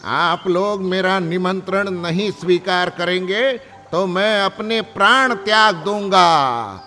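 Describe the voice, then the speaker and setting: A man voicing a proud, foolish old heron in a children's animated story, with a theatrical, drawn-out delivery. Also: sits high, exaggerated voice. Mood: pleading, insistent. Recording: long reverb, music behind.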